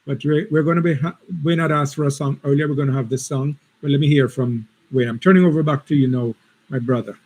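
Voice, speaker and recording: A man's voice: fairly high pitch, steady tone, fast talker, darkish tone, poor audio. A man presenting a seminar, earnest, with frequent repeated words and restarts. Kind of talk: reflective seminar talk.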